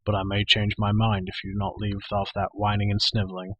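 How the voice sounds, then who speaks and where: A man's voice: lowish voice, monotone, brisk, fairly bright tone, no room sound, lo-fi sound. A man voicing a stern, authoritative warrior prince in a storybook, speaking firmly and with restraint. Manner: firm, severe, restrained. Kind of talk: storybook character voice.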